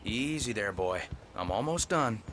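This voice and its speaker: An actor playing a friendly, easygoing cabin ranger in a video game, with a folksy, helpful manner. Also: male voice, on the low side, animated, measured pace. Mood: soothing. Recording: noisy game audio with clicks.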